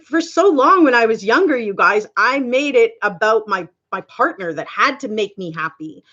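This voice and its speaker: A giggly Canadian woman around forty hosts a casual livestream. Her voice is high and swings up and down a lot, and she talks in an unhurried, affectionate, chatty way. The audio is a little dull and lo-fi. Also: a bit bright. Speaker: livestream host. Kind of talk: casual livestream chat with viewers.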